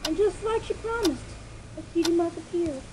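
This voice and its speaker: A woman's voice: very high voice, animated, normal speed. A woman voicing a frightened young filly, hesitant and childlike, in a dramatic fan fiction reading. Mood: guilty, scared. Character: frightened young filly confessing dark secrets. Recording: noisy home recording.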